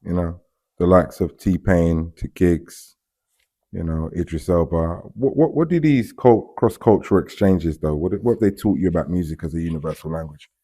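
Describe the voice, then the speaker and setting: Deep, husky male interviewer. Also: normal speed, dark tone. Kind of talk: interview questioning.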